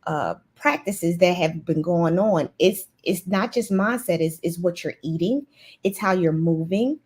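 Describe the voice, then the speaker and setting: A woman's voice: on the low side, measured pace, darkish tone, husky. A woman guest in a casual interview, talking in an earnest, motivational way with frequent restarts. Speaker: guest, nurse CEO.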